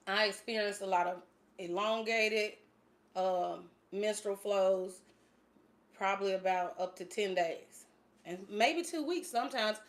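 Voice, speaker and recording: A woman's voice: mid-range voice, a bit bright. A woman in her late forties hosting a casual livestream, chatting loosely with frequent fillers and laughs. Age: 40s. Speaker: fitness channel host.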